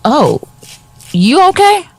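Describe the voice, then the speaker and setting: An actress playing a brash, teasing, warm-hearted friend in a modern-day audio drama, blunt and slangy. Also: female voice, high-pitched, wildly swooping pitch, slow pace, heavily acted. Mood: teasing, excited.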